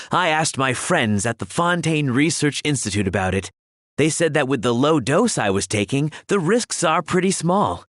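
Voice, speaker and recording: A man's voice: fairly high pitch, sing-song, a little slow, a bit bright, no room sound, studio quality. A man playing an easygoing, hopeful male chef in a video game, speaking with light reassurance. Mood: relieved, easygoing. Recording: music behind the voice.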